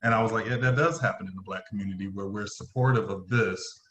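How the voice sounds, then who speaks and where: A man's voice: on the low side, steady tone, quick pace, fairly bright tone, dull audio. A man chatting casually about his creative work, quoting his own thoughts as he tells the story. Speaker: playwright, director and acting teacher. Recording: roomy, echoey sound.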